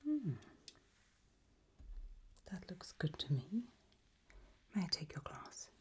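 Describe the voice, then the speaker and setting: A woman playing an elegant, doting gothic lady hostess in a whispered ASMR roleplay, with a soft breath and gracious, languid manner. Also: lowish voice, sing-song, takes it slowly, husky, full character voice.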